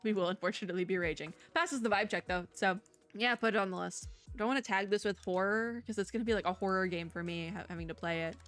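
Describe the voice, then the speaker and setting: A young woman streamer rambles cheerfully about games, tripping over restarts and laughing at herself. Her throat is sore and her voice is starting to go. Faint music plays quietly beneath her. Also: medium pitch, quick pace. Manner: chatty, self-deprecating, restarts and trails off. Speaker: VTuber reviewing game showcase. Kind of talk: casual commentary.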